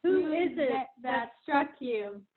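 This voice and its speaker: A woman reads a scripture part aloud. Her voice is high and unhurried, and the video call makes it sound narrow and tinny. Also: animated. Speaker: lay reader of group parts. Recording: thin, phone-like video-call audio.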